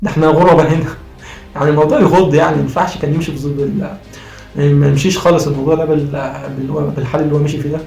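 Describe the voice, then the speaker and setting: An Egyptian man talks football at breakneck speed in a fairly high voice that sounds strained and worn from cheering. He argues emphatically and repeats his points like a fired-up fan. The room is noticeably echoey. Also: level tone, very fast, vocal fry, a little mellow. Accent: Egyptian Arabic. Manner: emphatic, argumentative, repeats phrases. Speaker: football vlogger. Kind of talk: impassioned post-match fan commentary. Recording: roomy echo, faint music behind.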